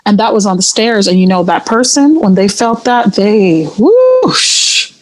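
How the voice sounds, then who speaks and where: A woman's voice: medium pitch, very animated, unhurried, rough recording. Spooked God-fearing churchgoing woman. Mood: awed. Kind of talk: audio play acting, conversational storytelling.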